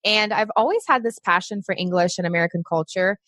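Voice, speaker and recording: A woman's voice: medium pitch, quick pace, fairly bright tone, dry recording. Brazil-born woman, chatty earnest coach on a podcast, really dry mic. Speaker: podcast guest, success coach for moms. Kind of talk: casual interview chat.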